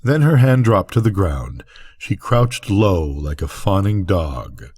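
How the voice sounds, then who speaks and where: Husky, mellow older male storyteller. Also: sits low, big pitch swings, slow pace, no room sound. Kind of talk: expressive bedtime story narration.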